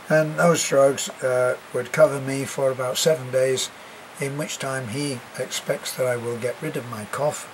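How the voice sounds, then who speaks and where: An older British man talks casually to camera about everyday life abroad, rambling, with plenty of ums and restarts. His voice sits at an ordinary middle pitch and sounds a little congested from a lingering cough. Steady background noise runs beneath him. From Britain. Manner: rambling and chatty, many fillers and restarts. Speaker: expat vlogger.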